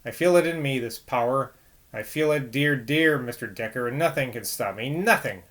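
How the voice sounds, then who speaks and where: A fervent preacher with a hoarse rumble to his voice, put on by a man reading a novel aloud. He pushes up in pitch and force as he preaches, with a bright, forceful tone. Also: normal speed, lightly acted.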